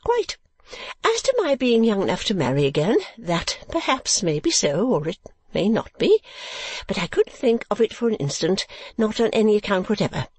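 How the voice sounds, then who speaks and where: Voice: medium pitch, sing-song, moderate pace, husky, dry recording, pitched above the performer's own voice. A reader voicing a fussy, self-important middle-aged widow from a storybook, prim and emphatically long-winded. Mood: prim, insistent.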